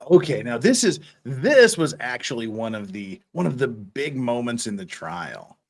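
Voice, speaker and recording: A man's voice: medium pitch, sing-song, moderate pace. A man in his fifties hosting a livestream, chatting casually and reading out viewer comments in a rambling, jokey, giggly way. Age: 50s. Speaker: livestream host.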